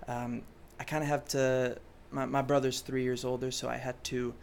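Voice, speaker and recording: A man's voice: medium pitch, little pitch movement, normal speed, vocal fry, slight background noise. A young man from France, a native French speaker, talking in a casual interview with many fillers and restarts. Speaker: pop singer guest.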